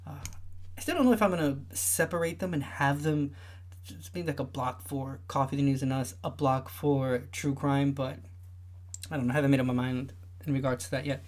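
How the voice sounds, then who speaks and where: A young man with a light, high voice that listeners often mistake for a woman's. He hosts a relaxed livestream, chatting loosely and filling gaps with lots of "uh"s and restarts. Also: a little fast. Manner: rambling, many filler sounds and restarts. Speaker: livestream host. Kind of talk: casual livestream chat.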